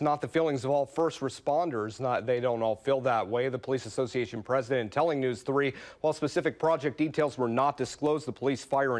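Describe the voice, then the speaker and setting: A man working as a news reporter, narrating an investigative broadcast report. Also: medium pitch.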